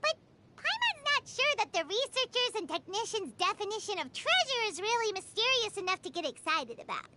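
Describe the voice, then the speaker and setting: A woman voicing a small, excitable, naive childlike girl companion in a video game, squeaky and theatrical in her delivery. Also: fairly quick, heavy background noise. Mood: curious, musing. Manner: refers to herself in third person, exclaims theatrically. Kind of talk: video game character voice acting. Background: background music.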